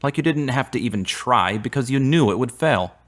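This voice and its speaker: A man's voice: medium pitch, expressive, lightly acted. Man playing an earnest, formal young man. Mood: hurt, accusing. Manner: measured, formal, preachy phrasing. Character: earnest devout young man, solemn and easily hurt. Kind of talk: radio drama acting.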